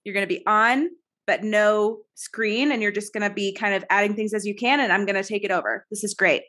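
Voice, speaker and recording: A woman's voice: mid-range voice, steady tone, fairly quick, fairly bright tone. A young woman co-hosting a podcast, chatting in an upbeat, encouraging way. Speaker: podcast co-host. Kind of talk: casual chat.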